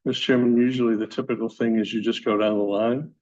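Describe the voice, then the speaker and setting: A male hearing officer explaining procedure to a commission in a measured, explanatory way. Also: mid-range voice, fairly even intonation, a little mellow. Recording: dull lo-fi hearing room audio.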